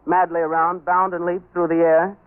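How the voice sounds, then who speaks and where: A man's voice: high-pitched, level tone, moderate pace, natural voice. A man playing a patronizing straight man in 1930s radio comedy crosstalk, formal and wordy with polite hesitations. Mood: politely probing. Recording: tinny, band-limited 1930s radio recording.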